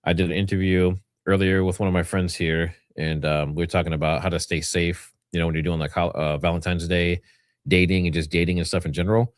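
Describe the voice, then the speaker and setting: Man, deep voice, dry close sound, livestream host, casual rambling commentary. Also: little pitch movement, brisk, dry recording. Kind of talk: casual livestream commentary, partly reading notes aloud.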